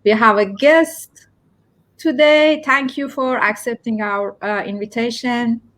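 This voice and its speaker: Slow-paced, higher-voiced woman hosting. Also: a bit bright. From lives in London. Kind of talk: casual live interview.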